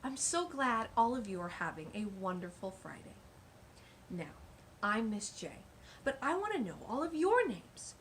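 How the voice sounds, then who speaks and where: A woman's voice: on the high side, sing-song, normal speed, a bit bright, slight background noise. A woman hosting a children's storytime, reading a picture book aloud with gushing, exclamatory asides.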